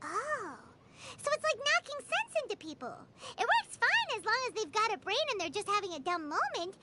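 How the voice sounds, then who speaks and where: A woman playing a tiny, excitable, childlike floating companion in animated video game dialogue, squeaky and easily indignant. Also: normal speed, heavy background noise. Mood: puzzled. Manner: speaks of herself in third person, excitable.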